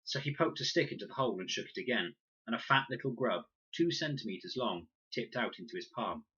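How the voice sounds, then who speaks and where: A man's voice: mid-range voice, animated, fairly quick, pushed and bright, slightly rough. A man narrating a children's adventure audiobook expressively, on a dull, lo-fi recording. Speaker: reader, narrator.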